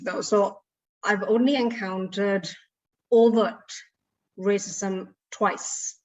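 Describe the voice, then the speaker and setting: A middle-aged woman from Sri Lanka with a Sri Lankan accent, a guest speaker telling an animated personal story. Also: medium pitch. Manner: animated storytelling with frequent laughs. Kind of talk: storytelling in an online talk. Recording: distant, dull lo-fi audio.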